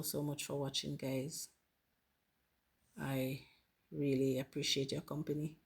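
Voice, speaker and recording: Hoarse, slow-talking Jamaican woman. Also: low voice. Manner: warm, grateful, addresses viewers as guys. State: hoarse from a flu. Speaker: gardening channel host. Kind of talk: casual chat to viewers while planting. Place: home garden.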